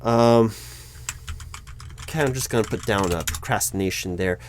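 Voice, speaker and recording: Guy w a lowish voice doing a dry, confused job interviewer bit, keeps restarting sentences. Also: a little hiss or hum. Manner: patient but baffled, dry and deadpan; halting, with frequent restarts. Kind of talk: ASMR roleplay, spoken aloud, not whispered.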